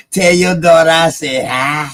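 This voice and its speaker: A man's voice: a little high, slow, a bit bright. A man hosting a casual live panel chat, slangy and jokey, teasing and often repeating himself. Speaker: host of an open live panel.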